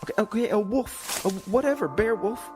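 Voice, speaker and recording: A man's voice: high-pitched, expressive, brisk, music in the background, pitched above the performer's own voice. A man acting a panicky, stoned young camper who swears at himself in an audio drama. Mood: panicked.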